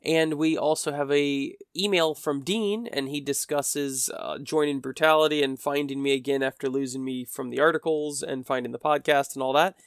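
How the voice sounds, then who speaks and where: A man's voice: fairly high pitch, fairly even intonation, moderate pace, few pauses, clear, resonant tone, no room sound, clean studio sound. A man hosting his own podcast, tired and stuffy with a cold, rambling with self-mocking humor. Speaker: podcast host. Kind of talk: solo podcast chat. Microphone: podcast microphone.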